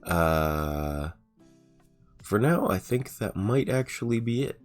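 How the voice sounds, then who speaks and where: Very deep-voiced man, raspy from a sore throat. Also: slow pace, soft background music. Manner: relaxed, rambling, with frequent ums and uhs. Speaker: gaming streamer. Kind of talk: casual let's-play commentary.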